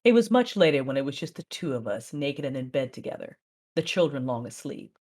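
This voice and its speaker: A woman as a low-voiced, measured Sith mother. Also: big pitch swings, a little mellow, exaggerated voice. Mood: intimate, resolved. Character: Sith mother and wife, controlled, proud, devoted. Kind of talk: first-person dramatic narration and dialogue.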